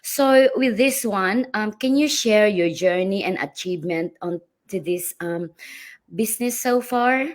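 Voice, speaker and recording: A woman hosting a casual live video-call interview, speaking with frequent ums and pauses. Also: mid-range voice. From Australia. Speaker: interviewer and host.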